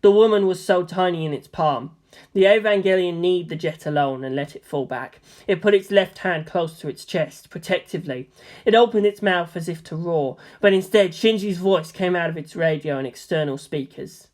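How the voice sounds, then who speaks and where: Calm fan-fiction narrator. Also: medium pitch, moderate pace. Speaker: fanfic reader.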